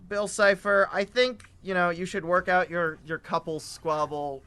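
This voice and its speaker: A man doing casual improvised comedy banter as a sidekick, halting and stammering. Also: high voice, steady tone, bright, forceful tone, smooth.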